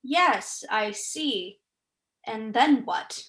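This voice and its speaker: A young woman playing a brisk, probing, sceptical writer in a staged play reading. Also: fairly high pitch, lively intonation, a bit bright, exaggerated voice. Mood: curious. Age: young adult.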